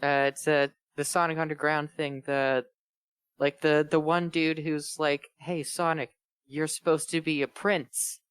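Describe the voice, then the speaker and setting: A woman with a distinctly low voice banters in a relaxed, casual way. She is a reader on a group read-along and riffs on the story. The recording is dry and close. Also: a little slow, clear, resonant tone, dry recording. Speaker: reader on a bad fan fiction read-along show. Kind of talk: casual group chat with riffing.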